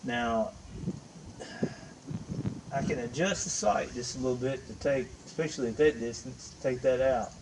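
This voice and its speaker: A man casually talking to viewers while shooting at an outdoor range, thinking aloud in a folksy, halting way. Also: medium pitch. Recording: distant voice over loud outdoor noise.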